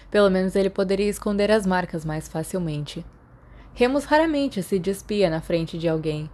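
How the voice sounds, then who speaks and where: A woman's voice: medium pitch, darkish tone. A woman with a Brazilian accent reading scripted fiction aloud as a narrator. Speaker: podfic narrator.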